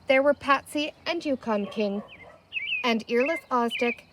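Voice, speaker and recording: A woman's voice: fairly high pitch, measured pace, noisy background. A woman narrating a children's storybook as a reader, in scripted narration.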